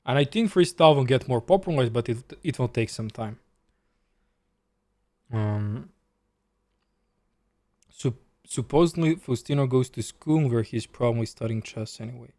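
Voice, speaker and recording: A man's voice: mid-range voice, animated, normal speed, long pauses, darkish tone, no room sound. A man from Serbia with a Serbian accent, a chess streamer and coach chatting casually, answering viewers' questions as he plays. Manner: chatty, reads chat aloud and answers while playing. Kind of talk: casual live-stream commentary.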